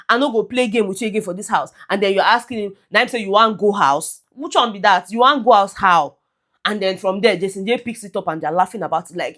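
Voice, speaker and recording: A Nigerian woman vlogging, giving animated and opinionated reality-show commentary that mixes in Pidgin. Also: medium pitch.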